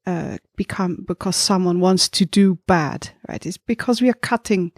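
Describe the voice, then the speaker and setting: A woman co-hosting a reflective intellectual discussion, speaking deliberately with pauses between her phrases. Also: fairly low pitch, darkish tone, dry recording, clean studio sound.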